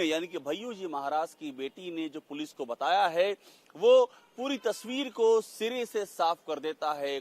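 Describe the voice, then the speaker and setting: Male news reporter, Indian, higher-pitched voice, emphatic, unscripted phrasing.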